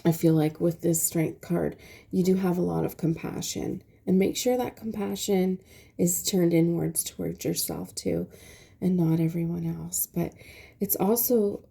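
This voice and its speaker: Soft-spoken stuffy-nosed woman reading tarot. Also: fairly low pitch, fairly even intonation, unhurried, gravelly. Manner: soft, hesitant, many ums. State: stuffy nose. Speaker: tarot reader.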